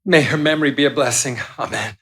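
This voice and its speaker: An actor in a radio play playing a formal, reverent mourner at a funeral. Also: male voice, medium pitch, quick pace, noisy background, close to an everyday voice. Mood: respectful. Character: respectful male mourner paying tribute at a funeral.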